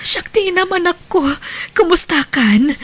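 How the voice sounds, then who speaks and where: A woman's voice: very high voice, lively intonation, moderate pace, a bit bright. A woman with an Ilocano Filipino accent playing a distressed, wronged wife and mother in an audio drama, plaintive and emotional. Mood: tearful, tender.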